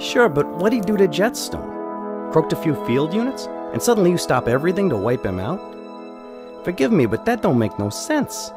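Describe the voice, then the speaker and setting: An actor playing a blunt, streetwise henchman with American wiseguy phrasing, questioning his boss in an animated fantasy drama. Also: fairly high pitch, moderate pace, music in the background. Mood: skeptical.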